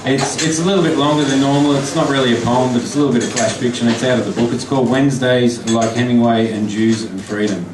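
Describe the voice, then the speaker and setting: An Australian man, a poet, reading his poems and flash fiction aloud at a live event, chatty and halting between pieces, slow and deliberate when reading. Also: medium pitch, steady tone. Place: pub function room. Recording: echoey pub from far off with noise.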